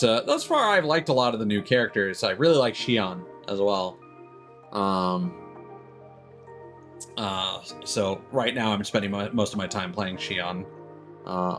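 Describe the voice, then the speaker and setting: A man's voice: lowish voice, fairly bright tone. A man doing casual, rambling livestream chat as a game streamer, with chuckles here and there.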